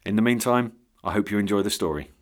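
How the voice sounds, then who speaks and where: Male announcer, lowish pitch, scripted podcast promo read. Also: fast.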